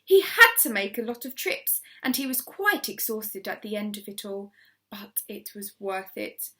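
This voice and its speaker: Animated woman reading a children's story. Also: medium pitch, a little slow. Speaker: primary school story reader. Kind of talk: expressive children's storybook narration.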